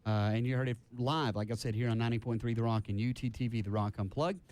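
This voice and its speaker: A man's voice: medium pitch, fairly even intonation, fast, darkish tone. A man hosting a casual live radio interview, rambling on enthusiastically in a studio. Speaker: radio host.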